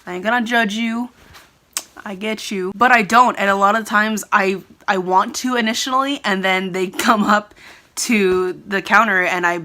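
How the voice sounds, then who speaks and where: A woman's voice: mid-range voice, fairly even intonation, moderate pace, sharp tone. A young woman from California, raised speaking Tagalog first, giving earnest and rambling commentary to her vlog camera. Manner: earnest, rambling, often laughing and restarting. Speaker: vlogger. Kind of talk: casual talking-to-camera commentary.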